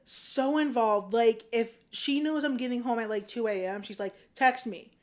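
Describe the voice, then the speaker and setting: A woman's voice: a little high, normal speed, a little mellow. Easygoing woman in her thirties. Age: 30s. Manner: easygoing, reflective, laughs often. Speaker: podcast guest. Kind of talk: casual chat.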